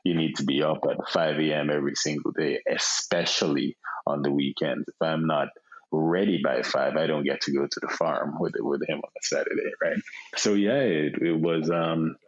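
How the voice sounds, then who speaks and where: A Jamaican man in his thirties, a podcast guest, talking in a relaxed way with many fillers and repeated words over a remote call. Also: very deep voice, normal speed. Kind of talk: casual interview chat. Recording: dull lo-fi sound.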